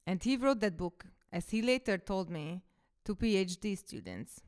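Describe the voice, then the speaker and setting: A woman's voice: mid-range voice, lively intonation, moderate pace, darkish tone, clear, resonant tone. A woman in her thirties, a coach and podcaster whose first language is Hungarian, telling her story in a reflective, casual monologue.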